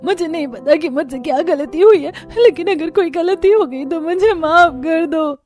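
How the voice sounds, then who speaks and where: A woman's voice: extremely high pitch, moderate pace, darkish tone, faint music behind. A woman playing a meek, poor young daughter-in-law in a Hindi cartoon story, speaking in a plaintive way. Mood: pleading.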